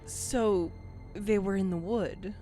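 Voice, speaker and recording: A woman's voice: medium pitch, slow pace, dark tone, soft background music, natural voice. A woman playing an anxious research scientist on a stranded ship in a sci-fi audio drama. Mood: puzzled.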